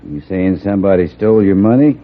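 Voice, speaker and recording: An actor playing a smug, menacing small-town sheriff in a 1950s radio Western, with a folksy, sardonic, drawling delivery. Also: male voice, low voice, fairly quick, close to an everyday voice. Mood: smug, challenging. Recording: tinny, noisy 1950s radio broadcast.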